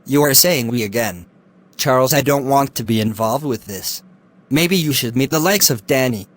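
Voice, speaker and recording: A man's voice: medium pitch, animated, normal speed, natural voice. A man playing a wary, refusing student. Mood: dismissive. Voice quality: firm, dismissive refusal. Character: wary young male student, refusing firmly. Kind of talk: amateur drama acting.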